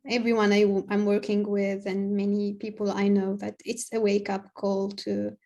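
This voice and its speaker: A woman in her thirties from Tunisia talking as an interview guest on a video call, earnest, with halting phrasing. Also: mid-range voice, monotone, creaky, warm tone, dull audio. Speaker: health coach, podcast guest. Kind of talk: conversational interview. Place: home room, indoors.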